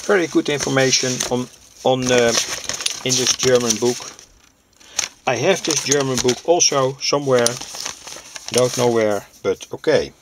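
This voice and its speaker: A man from Europe presents an informal hobbyist demonstration at a slow, deliberate pace. His medium-pitched voice has a lot of creak. He speaks hesitantly, stopping often in the middle of sentences. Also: noisy background. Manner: halting, hesitant, pausing mid-sentence. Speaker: presenter, vintage radio hobbyist.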